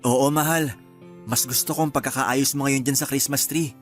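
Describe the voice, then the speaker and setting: A man playing a gentle, agreeable young husband in a radio drama, speaking in a soft-spoken, reassuring way. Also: medium pitch, fairly even intonation, normal speed, soft background music, close to an everyday voice.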